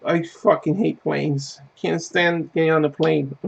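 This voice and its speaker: Gravelly laid-back streamer man. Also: a little high, steady tone, slow. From Puerto Rico. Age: 30s. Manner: laid-back, profane, laughing easily. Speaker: game streamer. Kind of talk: casual chat while gaming. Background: faint game music.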